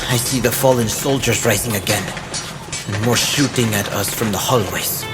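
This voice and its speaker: Actor as grave male narrator over loud music. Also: fairly low pitch, moderate pace, natural voice. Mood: grave, intense. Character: troubled shapeshifting male narrator recounting battle, profane. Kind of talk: audio drama narration. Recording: loud music bed under the voice.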